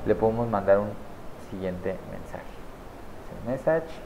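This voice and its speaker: A man in his thirties casually walking viewers through a software setup on screen, checking in with them often as he clicks along. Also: on the low side, lively intonation, measured pace, creaky, background noise. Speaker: online marketing tutor. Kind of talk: screen-share software tutorial. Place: small indoor room at a desk.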